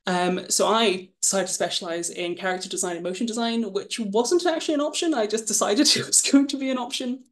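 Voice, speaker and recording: A woman's voice: mid-range voice, brisk, few pauses. A woman in her twenties, from Scotland, casually presenting her path as an illustrator, chatty and self-deprecating, with frequent ums. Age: 20s. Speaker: guest illustrator.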